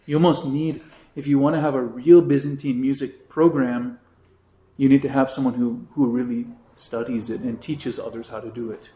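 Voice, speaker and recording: Chatty priest in an echoey room. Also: male voice, medium pitch, moderate pace. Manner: chatty, relaxed teaching with frequent "you know" asides. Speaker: priest teaching a catechism class. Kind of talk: informal class talk. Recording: roomy echo.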